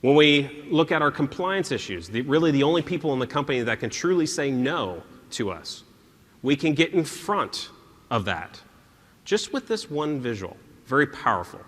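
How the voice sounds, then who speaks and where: Lively man presenting in a hall. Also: medium pitch, normal speed. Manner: emphatic, with rhetorical questions. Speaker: conference presenter. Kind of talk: conference presentation. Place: large conference stage, indoors. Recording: long hall reverb.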